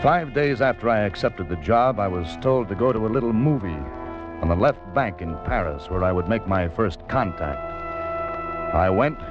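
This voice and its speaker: American correspondent turned agent: plain-spoken, lively pitch, dull vintage radio sound, music behind. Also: male voice. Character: American freelance correspondent turned secret agent, matter-of-fact. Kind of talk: 1940s radio drama, first-person narration and dialogue. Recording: muffled old radio recording with music.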